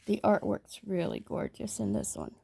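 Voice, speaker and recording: A woman reading a children's story aloud in a measured, expressive storytelling manner. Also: mid-range voice, vocal fry, breathy edge, no echo. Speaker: storybook reader.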